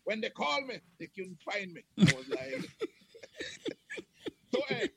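A man from the Caribbean chatting casually as a guest on a video call, lively and laughing, sometimes breaking into a sung line. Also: high-pitched, a little slow, gravelly, slight background noise. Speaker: guest entertainer.

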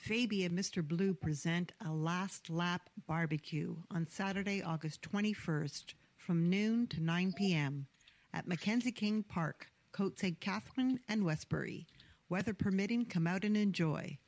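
A woman reading a radio advertisement in a clear, even promotional voice. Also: on the low side, normal speed. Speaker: announcer. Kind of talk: radio ad read.

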